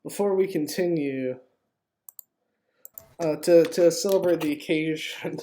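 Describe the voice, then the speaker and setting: A man's voice: fairly high pitch, a little slow, mellow, slight background noise. A man chatting casually with friends in a group voice chat.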